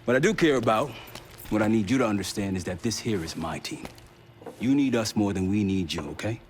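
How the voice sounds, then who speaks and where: A man's voice: on the low side, expressive, brisk, slight background noise, natural voice. An actor voicing a tough, wary American male team leader in a spy thriller video game, with a blunt, commanding manner. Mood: stern, distrustful. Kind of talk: cinematic video game acting.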